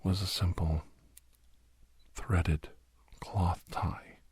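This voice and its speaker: A man playing a captive doctor who grimly recounts his escape, half-whispered in a breathy ASMR horror narration with halting, deliberate phrases. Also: sits low, flat delivery, darkish tone, slight background noise. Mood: grim, tense. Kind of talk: first-person scripted horror narration, ASMR.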